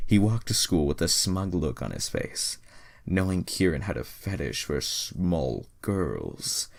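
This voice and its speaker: Young male reader, bass-low and fry-heavy, sarcastic, sweary, mock-dramatic delivery of amateur fiction. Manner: sarcastic, profane asides. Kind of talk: mocking dramatic reading of amateur fan fiction.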